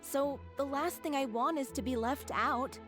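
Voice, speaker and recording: High-voiced determined young actress played by a woman. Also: moderate pace, music in the background, natural voice. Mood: earnest, confident. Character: determined young lead actress, indignant, devoted to troupe. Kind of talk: video game voice acting.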